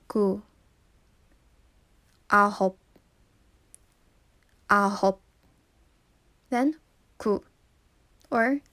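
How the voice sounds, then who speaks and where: A woman whose first language is English, slowly teaching number pronunciation and repeating each word carefully. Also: medium pitch, fairly bright tone. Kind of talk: slow pronunciation lesson.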